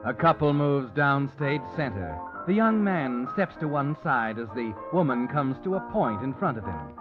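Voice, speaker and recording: A man's voice: mid-range voice, big pitch swings, moderate pace, lightly acted. An actor playing the brooding, all-knowing male narrator of a 1940s radio drama, speaking straight to the hero in an insinuating tone. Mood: ominous. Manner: addresses the hero directly, insinuating. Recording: dull old radio broadcast with music.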